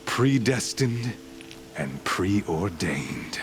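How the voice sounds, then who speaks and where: An actor playing a fanatical, pompous villain zealot of the sun, giving a grand, deliberate speech in a video game. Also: male voice, lowish voice, big pitch swings, heavily acted. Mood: grandiose menace. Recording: loud music underneath. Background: game music.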